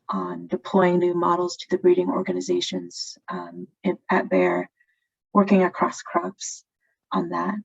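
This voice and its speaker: A woman's voice: mid-range voice, gravelly. A woman speaking on a webinar panel about plant breeding careers, thoughtful and full of fillers. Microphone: video call. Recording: lo-fi video call audio.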